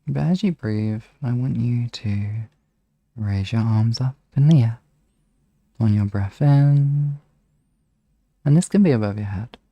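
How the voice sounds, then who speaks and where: A man hosting a live ASMR hypnosis session, guiding relaxation in a soft, soothing, gently instructing manner. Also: medium pitch, gravelly, no room sound.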